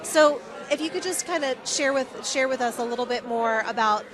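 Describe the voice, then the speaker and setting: Female field correspondent, fairly high register, effusive and conversational, interviewing with a clear crowd around. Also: normal speed. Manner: effusive, supportive of guest. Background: crowd at an event.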